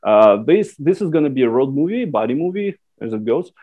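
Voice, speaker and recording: A man from Russia whose first language is Russian pitches his screenplay in English over a video call, hesitant and full of fillers and restarts. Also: big pitch swings, a little fast, mellow.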